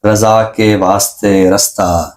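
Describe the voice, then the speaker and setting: Middle-aged pakistani guy, lowish voice, professor type, measured literary talk, repeats phrases for emphasis. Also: steady tone. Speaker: professor and poet, literary show presenter. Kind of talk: reflective literary talk and poetry recitation.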